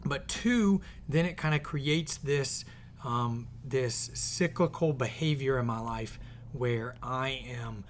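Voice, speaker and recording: A man hosting his podcast in casual conversation, his voice a little scratchy, talking in an earnest, reflective way that often trails off softly. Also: medium pitch, slow. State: slightly scratchy voice. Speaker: podcast host and author. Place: in the same room as co-host.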